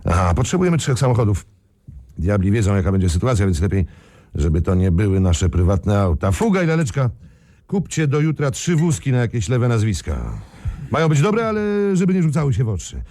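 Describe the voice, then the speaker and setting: An actor playing a calm, commanding leader of an ex-commando gang in a radio crime play, self-assured and giving orders. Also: male voice, on the low side, a little fast, dry recording. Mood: businesslike, commanding.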